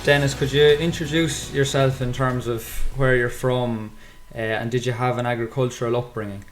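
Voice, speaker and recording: Teenage male host, flat delivery, hedging questions. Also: mid-range voice, normal speed, faint music behind. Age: teens. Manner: hedging questions with many fillers. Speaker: podcast host. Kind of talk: interviewer.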